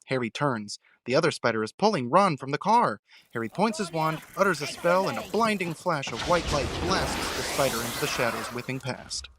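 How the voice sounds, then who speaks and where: A man's voice: on the high side, lively intonation, moderate pace, a bit bright, husky, no room sound. Dry, close-sounding male narrator. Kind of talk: dramatic scripted narration.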